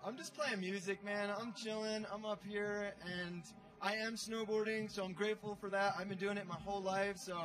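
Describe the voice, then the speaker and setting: A man's voice: high voice, fairly even intonation, moderate pace, a bit bright. A young man chatting casually as an interview guest, laid-back and slangy. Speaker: guest, snowboarder. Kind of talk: casual chat. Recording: slightly distant from mic.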